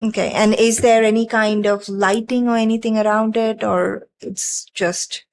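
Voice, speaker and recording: A woman's voice: on the high side, little pitch movement, unhurried, a little hiss or hum. A woman on a planning board questioning an applicant, hedging her points with many fillers. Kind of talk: questioning at a public meeting.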